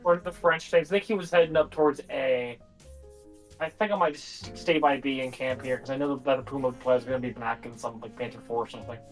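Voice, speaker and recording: Young gamer on voice chat, swears a lot, mid pitch, faint music. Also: steady tone, poor audio. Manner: quick, swearing reactions to the game. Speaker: gaming friend. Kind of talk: casual chat while gaming.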